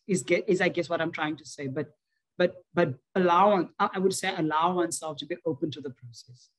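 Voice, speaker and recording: A woman's voice: lowish voice, rough recording. A woman with a South African accent speaking earnestly in an academic panel discussion, often restarting and repeating words, heard over a video call. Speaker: seminar fellow.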